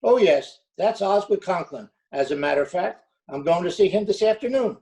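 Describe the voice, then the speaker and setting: A man's voice: sits high, expressive, normal speed, warm tone. A man playing a courteous, businesslike school superintendent in an old-time radio comedy. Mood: brisk, courteous. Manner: businesslike, polite.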